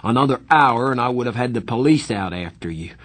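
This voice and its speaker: A man voicing a stern, hot-tempered young older brother in an audiobook, scolding loudly. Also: medium pitch, lively intonation, brisk. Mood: furious, scolding. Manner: loud, scolding, forceful.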